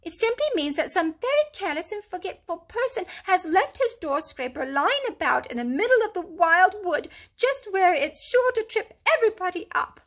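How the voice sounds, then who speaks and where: A woman voicing a small, fussy male mole who complains in a high, whining, crying tone. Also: big pitch swings, normal speed, few pauses, exaggerated voice. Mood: grumbling. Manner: pettish, complaining, exasperated.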